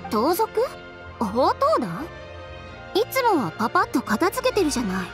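Confident young woman designer, high lively voice, brisk, dry, sharp-tongued, game dialogue, light music behind. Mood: brisk concern. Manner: brisk, matter-of-fact, dryly teasing. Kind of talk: video game voice acting in Japanese.

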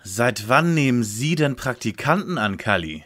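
A man's voice: mid-range voice, expressive, moderate pace, a bit bright, close to an everyday voice. A man playing a pompous know-it-all young detective leader in an audio drama parody, with formal wording. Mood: dry, correcting.

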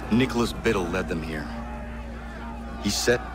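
Man playing low-voiced, solemn young captain. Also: unhurried, over loud music, lightly acted. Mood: questioning. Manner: formal, stiff, firm. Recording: noisy outdoor ship ambience.

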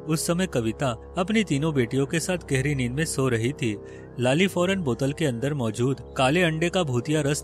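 A man narrating a scripted children's moral story. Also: mid-range voice.

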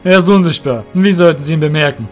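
A man plays a gloating guild master in a fantasy audio drama. He speaks in a fairly high voice, commanding and full of cruel mockery. Music runs underneath. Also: quick pace, close to an everyday voice. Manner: mocking, commanding. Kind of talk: hobby audio drama character acting.

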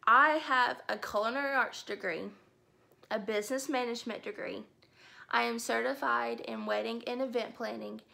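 A woman's voice: a little high, gravelly, pushed and bright. A woman in her twenties from Alabama chatting casually to camera about herself in a home room. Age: 20s. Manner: chatty, upbeat, enthusiastic. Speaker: book vlogger. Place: indoor room with bookshelves.

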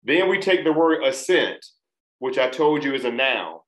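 Male instructor of Trinidadian background, mid-pitched, careful deliberate diction, webcam in an echoey room. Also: animated, a bit bright. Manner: deliberate, enunciating each syllable. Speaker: test prep instructor. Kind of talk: recorded lesson. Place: small room, indoors.

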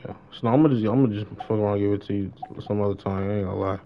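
A man's voice: lowish voice, steady tone, rapid-fire, muffled recording. American guy streaming, slangy and noisy. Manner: repeats phrases and uses heavy street slang. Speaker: live game streamer. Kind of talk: casual gaming stream chat in slang. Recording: noisy live stream with game sounds.

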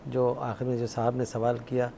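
A man in his fifties with a Pakistani accent, explaining in measured studio talk as a doctor, mixing in English terms. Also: medium pitch, completely flat delivery, dark tone. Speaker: doctor, studio guest expert. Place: TV studio at a desk. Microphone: lapel mic. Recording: clear background noise.

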